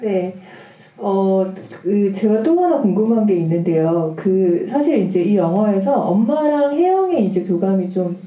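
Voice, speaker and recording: A woman's voice: medium pitch, animated, a little slow. A woman moderating a film discussion, phrasing her questions in a tentative, roundabout way. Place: cinema hall at a festival Q&A. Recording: roomy, distant, muffled camera audio.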